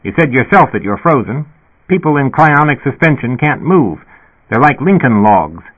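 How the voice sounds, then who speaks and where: A man's voice: mid-range voice, very animated, moderate pace, phone-quality sound, pitched above the performer's own voice. A man voicing an irritable, insistent police dispatcher speaking over an intercom in an audiobook. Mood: reasoning. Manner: loud, insistent, reasoning impatiently. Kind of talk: audiobook character voice.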